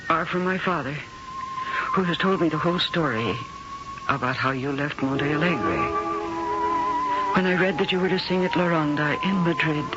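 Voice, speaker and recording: An actress playing a glamorous, flirtatious woman singer in a 1970s radio drama, with a theatrical manner. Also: low-pitched. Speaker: leading lady. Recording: muffled old radio broadcast with music.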